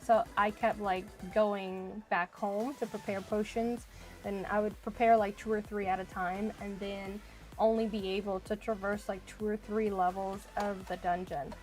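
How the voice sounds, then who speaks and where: A woman's voice: mid-range voice, little pitch movement, a little slow, a little mellow, faint music behind, low-quality recording. A woman streaming a video game, chatting casually and thinking aloud as she plays. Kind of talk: casual live-stream chat while playing.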